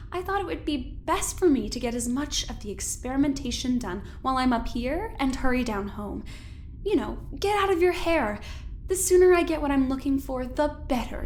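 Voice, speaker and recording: A woman's voice: sits high, slight background noise. A woman playing a cordial, probing doctor and visiting scientist in an audio drama, polite and chatty with a pointed edge. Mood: firm, brisk.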